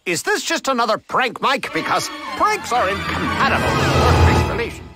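An elderly mad scientist in a cartoon game, high-pitched and fussy. His theatrical delivery swoops all over as he dithers excitably, over clear background music. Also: moderate pace, heavily acted. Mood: puzzled. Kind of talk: animated game cutscene acting.

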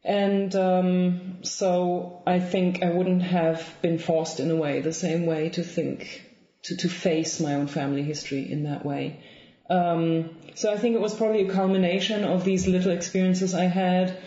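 A woman in her thirties from Germany with a German accent, speaking thoughtfully in an interview, with frequent pauses and restarts. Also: on the low side, fairly even intonation. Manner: thoughtful, soft-spoken, many ums and restarts. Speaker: guest author. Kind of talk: reflective interview conversation. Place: home room with bookshelves, indoors. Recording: roomy, slightly dull lo-fi sound.

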